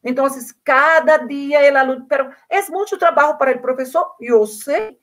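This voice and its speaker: A woman from Brazil with a Portuguese accent speaks Spanish as a second language in a slightly high voice. She talks earnestly and often repeats a word while reaching for the next one. The sound is that of a video call. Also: normal speed, fairly bright tone. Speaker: invited speaker. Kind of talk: online conference talk, answering questions.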